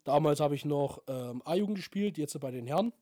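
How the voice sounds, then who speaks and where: Man, 20s, co-host, halting speech, high pitch, mellow dark tone. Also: lively intonation, no echo, studio quality. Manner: halting, searching for words. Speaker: podcast co-host and football coach. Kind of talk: casual podcast chat.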